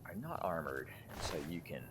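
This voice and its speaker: A man's voice: low voice, big pitch swings, brisk, noisy background. A man giving casual, rambling gameplay commentary, thinking aloud in a conversational way as he plays. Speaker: gamer, let's play host.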